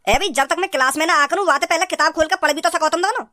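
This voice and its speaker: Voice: extremely high pitch, racing, pushed and bright. An actor voicing a stern, sarcastic male schoolteacher in a comic cartoon, lecturing with a rural North Indian Hindi accent. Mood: scolding. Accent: rural North Indian Hindi dialect. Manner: scolding, lecturing.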